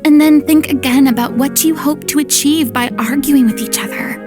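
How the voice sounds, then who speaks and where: A woman's voice: sits high, normal speed, natural voice. An actress playing a commanding young woman in a video game, stern yet caring, lecturing in an articulate, theatrical way. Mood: earnest, firm. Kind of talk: dramatic video game voice acting. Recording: music behind the voice.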